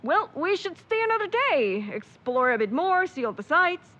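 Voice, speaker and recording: A woman's voice: very high-pitched, very animated, normal speed, fairly bright tone, dull audio, full character voice. A woman playing an upbeat, encouraging young travelling companion in an audio drama, casual and positive.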